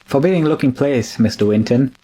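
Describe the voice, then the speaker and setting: An actor playing a deferential, uneasy but obedient manservant in an old-time radio drama. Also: male voice, medium pitch, a little fast, mellow, slightly rough, lightly acted. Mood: glum, polite.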